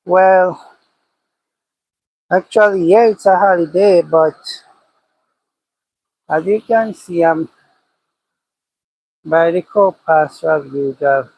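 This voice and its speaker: Slow-talking Jamaican farmer outdoors. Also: male voice, high-pitched, a little mellow, dry recording, poor audio. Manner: slow, thinking aloud, repeats phrases. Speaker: cattle farmer livestreaming. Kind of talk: casual livestream chat, reading comments. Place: outdoors by a cow pasture.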